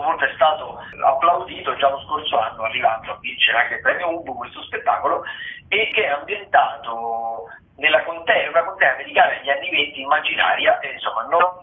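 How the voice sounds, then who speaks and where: Roman guy on community radio listing plays, kinda high voice, lots of uhs and restarts, echoey room. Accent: Roman Italian. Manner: chatty, with frequent hesitations and restarts. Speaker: radio theatre show host. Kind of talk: reading theatre listings on radio. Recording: phone-like roomy radio sound.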